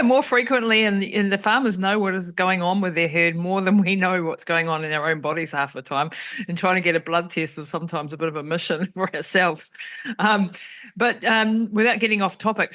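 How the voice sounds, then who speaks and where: A woman's voice: fairly low pitch, fairly bright tone. A woman hosting an interview, chatty and enthusiastic, often laughing as she builds her questions. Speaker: podcast host.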